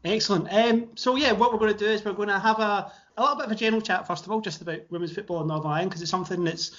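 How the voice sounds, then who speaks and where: A man's voice: sits high, very fast, a bit bright, dull audio. A man hosting a casual podcast interview, chatty with fillers and restarts. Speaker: podcast host.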